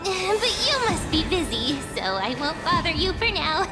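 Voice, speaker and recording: A woman voicing a playful, dreamy young girl in an anime-style video game, coy and earnest, with cutesy, expressive delivery. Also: very high-pitched, measured pace, over loud music, full character voice.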